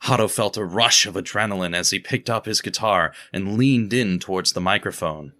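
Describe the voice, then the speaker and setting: A man narrating an audio drama with expressive, steady storytelling. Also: low voice, normal speed. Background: guitar music.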